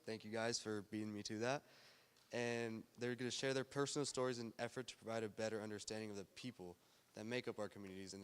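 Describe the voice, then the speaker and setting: A man's voice: mid-range voice, smooth. A man hosting a university storytelling event, reading a prepared formal welcome. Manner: reads a prepared welcome speech. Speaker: event host. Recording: long hall reverb.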